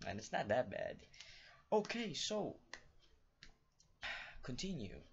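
Teen male vlogger with Filipino accent, unhurried halting delivery, lo-fi webcam sound. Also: medium pitch, wildly swooping pitch, creaky, a little hiss or hum. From Philippines. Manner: rambling, halting, many fillers and restarts. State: feeling unwell, voice off. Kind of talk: casual talk to camera.

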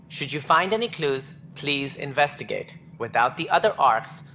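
An actor voicing an anxious, formal alien official in a video game, speaking in a clipped, precise way. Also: male voice, mid-range voice, bright, forceful tone, a little hiss or hum. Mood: grave concern. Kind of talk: video game dialogue acting.

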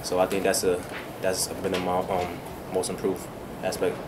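A man's voice: sits low, monotone, normal speed, background noise. A young man, a senior college player, answering postgame questions briefly and modestly. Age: young adult. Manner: brief, modest, few words. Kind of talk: postgame press conference answers. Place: small press room, indoors.

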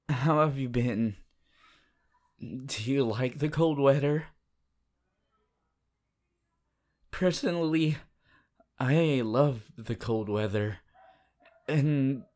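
A man playing a shy, awkward, lovestruck teenage anime boy hero in a halting, stammering romantic roleplay addressed to the listener. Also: medium pitch. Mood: cheerful, chatty. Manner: halting, stammering, flustered, giggly.

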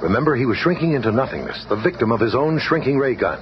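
Male actor as earnest scientist leader, lively intonation, explanatory, 1970s superhero radio play, dull noisy tape. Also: medium pitch, fast talker, close to an everyday voice. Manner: explanatory, animated.

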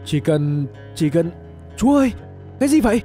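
A narrator puts on a pompous cartoon explorer, eager and dismissive. The male voice is high and mellow, quick to get excited, over soft music. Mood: alarmed.

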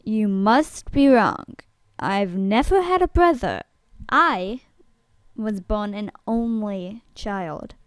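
A woman acting a bewildered, defensive girl in an amateur audition for a drama series, with dramatic, deliberate delivery. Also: medium pitch, animated, clear, resonant tone, no room sound, clean studio sound. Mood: disbelieving.

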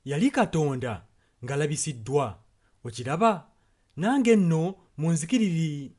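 Ugandan male sermon interpreter, slightly high voice, translating in short passages. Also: lively intonation, moderate pace, darkish tone.

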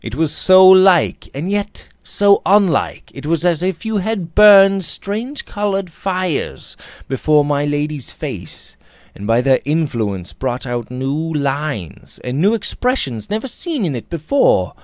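A man in his thirties narrating a Victorian novel theatrically, with measured, emphatic phrasing, close to the microphone in a small room. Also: fairly high pitch, very animated, no room sound. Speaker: audiobook narrator. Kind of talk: dramatic reading of a Victorian novel. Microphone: studio condenser microphone on a boom arm, close up.

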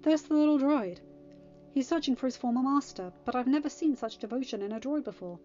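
An eager young farm boy played by a woman in an audiobook, raised in pitch and earnestly excitable. Music plays behind the slightly muffled recording. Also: brisk, lightly acted. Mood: curious, eager.

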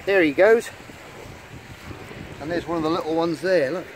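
A higher-voiced, middle-aged man chats enthusiastically while showing small sea creatures at a rock pool. He talks casually into a hand-held camera outdoors. Faint wind and water noise sit behind his voice. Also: moderate pace. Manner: chatty and enthusiastic, quietly talking while handling creatures. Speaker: channel host. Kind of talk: casual nature vlog narration. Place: rocky beach rock pools outdoors. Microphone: camera held in hand at the shore.

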